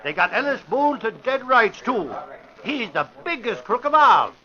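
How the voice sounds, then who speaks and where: Boisterous radio-drama sidekick, very high voice, excited emphatic delivery, dull vintage recording. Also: male voice, unhurried, exaggerated voice. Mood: excited, triumphant. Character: excitable, boisterous male newspaper sidekick bringing big news. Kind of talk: 1950s radio drama acting.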